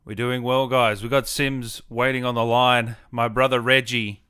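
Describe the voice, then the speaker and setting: A man hosts a live stream in casual chat, with a bright, forward voice that is clean and resonant. He talks informally and chummily, and the sound is close and dry. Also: medium pitch, little pitch movement, normal speed, smooth, no room sound. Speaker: streamer host.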